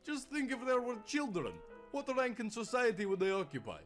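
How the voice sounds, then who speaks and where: A man's voice: extremely high pitch, huge pitch swings, moderate pace, a bit bright, faint music behind, pitched above the performer's own voice, full character voice. A man voicing a pompous, overwrought soldier in a storybook tale, formal and indignant. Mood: fretful. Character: pompous, easily panicked male soldier, a lieutenant.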